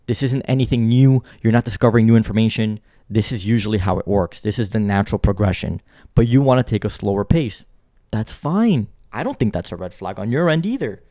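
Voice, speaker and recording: A man's voice: on the low side, expressive, fairly quick, mellow, no room sound, studio quality. A man reacting to a clip in blunt commentary, lecturing and repeating his points for emphasis.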